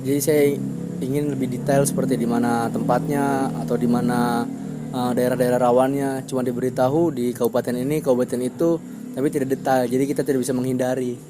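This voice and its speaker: A man in his twenties answers in plain, fairly formal language. Clear background noise with passing traffic surrounds him. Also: medium pitch, flat delivery, quick pace. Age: 20s. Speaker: interviewee. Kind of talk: interview answers.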